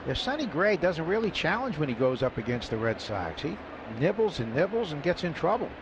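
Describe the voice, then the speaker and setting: A man's voice: on the high side, big pitch swings, a little fast. An American man giving color commentary and analysis during a radio baseball broadcast. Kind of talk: radio sports analysis between calls. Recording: dull radio broadcast with loud background noise.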